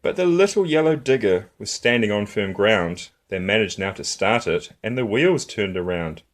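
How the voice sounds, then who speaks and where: Expressive man reading a children's story, lively intonation. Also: lowish voice, moderate pace. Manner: expressive, rhythmic reading of rhyming verse. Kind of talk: children's picture book read-aloud.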